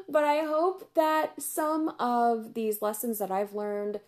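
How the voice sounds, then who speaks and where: Woman podcast host, slightly high voice, hoarse, easygoing and chatty with lots of laughs. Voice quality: chatty, upbeat, laughs often. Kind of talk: casual solo podcast chat.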